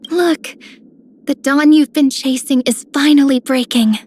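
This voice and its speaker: A woman playing a tender, affectionate young woman in a video game, with a soft, lyrical delivery. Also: sits high, steady tone, unhurried. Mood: tender, hopeful. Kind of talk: scripted game character voicelines.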